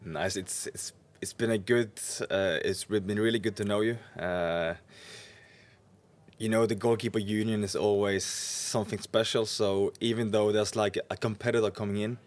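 A man in his thirties hosts a casual podcast in English with a Norwegian accent. His voice sits fairly low, and he chats informally as an interviewer. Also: fairly even intonation, moderate pace. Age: 30s. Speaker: podcast host, professional goalkeeper. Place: indoor lounge booth. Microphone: podcast microphone on boom arm.

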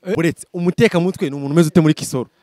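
A man hosting a casual interview, quick and playful, prompting his guest often. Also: on the high side, warm tone, dry recording. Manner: quick, playful, frequent "mm" backchannels. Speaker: interview host.

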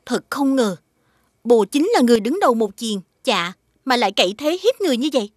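A woman playing a gracious, righteous young princess in an animated fairy tale, composed and formal in her speech. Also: on the high side, brisk, no echo, clean studio sound. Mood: firm, composed. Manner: composed, gently admonishing, formal.

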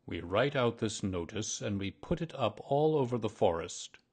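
A man voicing a pompous, long-winded owl in a children's story, with a ponderous, drawn-out delivery. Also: lowish voice, expressive, darkish tone, husky. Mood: self-satisfied.

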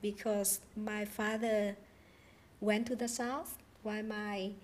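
A woman in her forties from Vietnam, telling her own story as a panel guest, careful and measured with hesitant fillers. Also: mid-range voice, level tone, slight background noise. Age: 40s. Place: studio stage with a panel seated in chairs. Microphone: handheld microphone.

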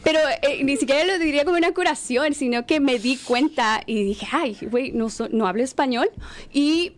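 A talkative woman with a slightly high voice, who grew up in the United States. She speaks as a guest in a relaxed group conversation, laughs a lot and often restarts mid-sentence. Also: moderate pace. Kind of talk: casual panel discussion.

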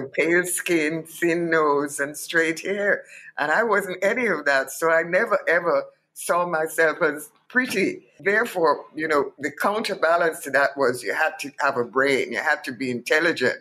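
A Jamaican woman in her eighties, a guest telling her life story in an animated, emphatic way and repeating points for weight. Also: deep voice. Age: 80s. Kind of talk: reflective interview storytelling.

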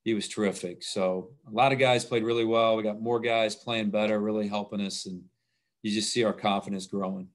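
Low-voiced man at a postgame press call. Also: fairly even intonation, brisk. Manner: plain, repetitive, restarts phrases. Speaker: head basketball coach. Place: indoors in front of a logo backdrop. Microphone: video call webcam.

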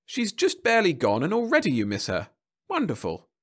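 A man's voice: sits high, sing-song, fairly quick, voice pushed up. A man voicing a smug, officious government marriage counsellor with a gloating, bureaucratic manner in a story. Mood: smug delight. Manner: snappish, smugly bureaucratic.

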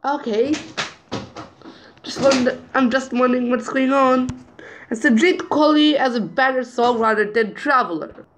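High-voiced, slow-reading woman. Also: a little hiss or hum, low-quality recording. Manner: slow, halting reading with muttered asides. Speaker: channel owner. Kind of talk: reading out group chat messages over a screen recording.

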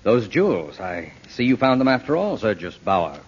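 A calm dry detective, low husky voice, old radio play, muffled. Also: male voice, heavily acted. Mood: dry, controlled. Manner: measured, wry, courteous. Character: calm, dry, quietly insistent detective, gently protective. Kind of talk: 1940s radio drama acting. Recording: dull vintage radio recording.

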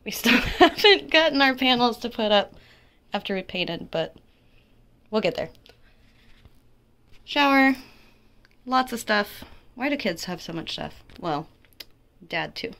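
A woman's voice: on the high side, expressive, unhurried, long pauses, a bit bright. Chatty woman giving a home tour. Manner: chatty, laughs often, self-deprecating asides. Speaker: vlogger and homeschooling mom. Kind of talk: casual house tour narration. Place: small home, indoors.

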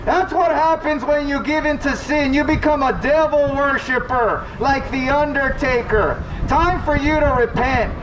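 Male street preacher, very high strained voice, slow deliberate delivery, heard from afar on a muffled recording with street noise. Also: fairly even intonation, far from the mic. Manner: loud, condemning, repetitive preaching. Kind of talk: open-air preaching to passing crowds. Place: outdoors on a city street.

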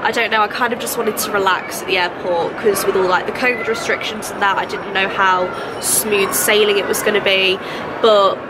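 A woman's voice: medium pitch, steady tone, normal speed, heavy background noise. A woman, chatting to camera in a rambling, self-correcting way, her voice nasal and congested from a cold. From England. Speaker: vlogger. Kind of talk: casual chat to camera. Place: apartment room by a window. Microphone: phone held at arm's length.

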